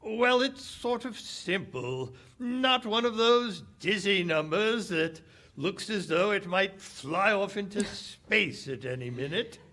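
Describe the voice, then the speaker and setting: A man acts out a 1940s radio man with a bright, forceful tone. His hearty delivery swings widely in pitch and takes its time, in old-time broadcast style. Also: animated, takes it slowly, exaggerated voice. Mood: flustered, flattering. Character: folksy, enthusiastic 1940s soap company spokesman; bitter, guarded cafe owner. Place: live stage performance at a public library.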